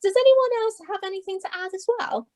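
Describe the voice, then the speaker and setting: Woman host, high voice, chatty, casual video-call panel. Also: fast, darkish tone. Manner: chatty, restarts a lot, upbeat sign-off. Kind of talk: casual online panel hosting.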